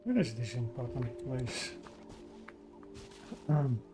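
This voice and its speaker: A man giving casual, halting livestream commentary while he plays a game, murmuring to himself. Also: mid-range voice, sing-song, over music. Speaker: live streamer. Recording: clear background noise with clicks.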